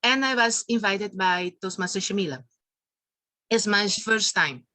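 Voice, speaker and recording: Woman from Aruba, meeting guest; bright tone, brisk, dry close audio. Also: mid-range voice, no room sound. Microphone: video call audio.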